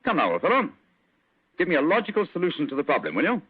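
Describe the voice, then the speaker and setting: An actor playing a teasing, self-assured English gentleman detective, crisp and upper-class, in an old-time radio drama. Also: on the high side, wildly swooping pitch, racing, no echo, heavily acted. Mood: brisk, playful. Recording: dull, band-limited old radio recording.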